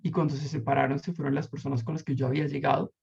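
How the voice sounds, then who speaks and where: A man's voice: medium pitch, flat delivery, rapid-fire, creaky, a little mellow, slightly rough. A Colombian man in his thirties, telling his life story in a casual interview, rambling and reflective with many fillers and restarts. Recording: muffled lo-fi recording.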